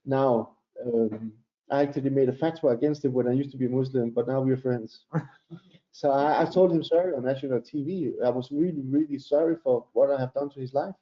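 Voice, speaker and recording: Danish man with a roomy, dull sound. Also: mid-range voice. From Denmark. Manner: halting, many fillers and restarts, laughs often. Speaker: guest. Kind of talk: casual interview storytelling. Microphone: video call.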